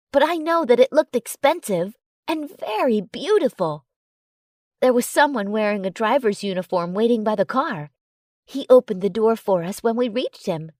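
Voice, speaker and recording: A woman narrating a first-person romance story in an expressive, dramatic storytelling way. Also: fairly high pitch, no room sound, studio quality. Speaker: audiobook narrator.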